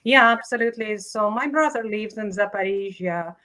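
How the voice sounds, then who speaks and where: A woman's voice: mid-range voice, normal speed, pushed and bright. A woman from Ukraine, a native Russian speaker, talking earnestly as an interview guest and leadership coach. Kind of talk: conversational interview.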